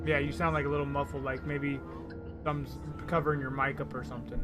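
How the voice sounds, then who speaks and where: A man streaming a game and chatting on voice chat, quick and restless, often repeating himself. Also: medium pitch, little pitch movement, music in the background. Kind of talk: casual gaming chat. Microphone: desk USB mic.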